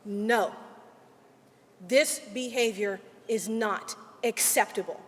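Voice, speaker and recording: A woman attorney giving an earnest, deliberate ceremonial speech with measured pauses. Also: mid-range voice, long reverb tail. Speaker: legal aid attorney. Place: large hall at a ceremony.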